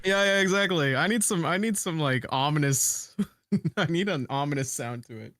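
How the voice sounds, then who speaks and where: A man's voice: a little high, moderate pace, fairly bright tone. A man chatting casually as a podcast co-host, joking in a wry, slangy way with some swearing.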